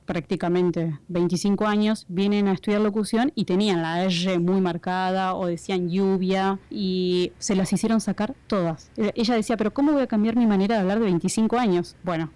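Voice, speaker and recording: A woman's voice: medium pitch, steady tone, normal speed, dark tone, no echo. A middle-aged woman with an Argentine accent, a radio announcer chatting and explaining with many examples as an interview guest. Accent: Argentine Spanish. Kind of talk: casual interview chat.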